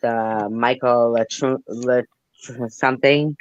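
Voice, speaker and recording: A man's voice: medium pitch, steady tone, slow pace, dry recording, highs cut off. A man hosting a casual chat who rambles, trails off and mutters asides, then reads news reports aloud. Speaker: host. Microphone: phone.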